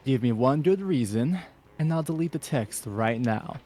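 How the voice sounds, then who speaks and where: A man's voice: medium pitch, lively intonation, normal speed, dark tone, lightly acted. A man voicing a firm, prying middle-aged mother in a comedic amateur fan fiction reading.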